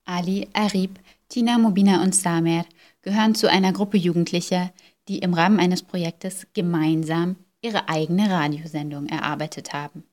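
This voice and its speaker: A woman reporter reading scripted narration in a clear, neutral way. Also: on the low side, steady tone, normal speed, darkish tone.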